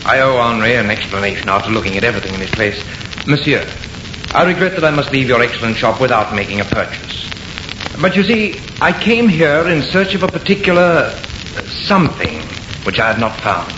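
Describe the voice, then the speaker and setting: An actor playing a polite, intense artist, with a courteous, earnest, theatrical manner in 1930s radio drama style. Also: male voice, mid-range voice, sing-song, fairly quick, natural voice. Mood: polite, impatient. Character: polite, intense artist drawn by strange compulsion. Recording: noisy, muffled, echoey old radio.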